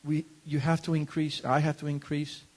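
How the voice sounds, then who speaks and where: A man from Cuba preaching in English through an interpreter, emphatic and building to loud peaks. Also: a little high, little pitch movement, measured pace. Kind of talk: bilingual sermon with interpreter.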